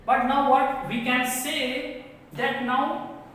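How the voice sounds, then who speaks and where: A woman's voice: high-pitched, steady tone, fairly bright tone, slight background noise. A woman teacher with an Indian English accent, reading a textbook passage aloud to students and explaining it line by line in simple words. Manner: slow, repetitive, reads a line then paraphrases it. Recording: echoey room from far off.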